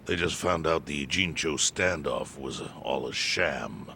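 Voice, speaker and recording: Super deep, sharp-edged chairman, slow and grave, faint rumble. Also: gravelly, full character voice. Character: calm, all-knowing older clan chairman. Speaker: clan chairman.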